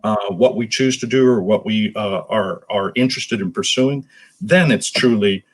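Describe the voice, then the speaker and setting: A man's voice: fairly low pitch, moderate pace, breathy edge, poor audio. A man speaking as an interview guest, answering conversationally in an earnest, explanatory way. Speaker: interview guest, nonprofit executive.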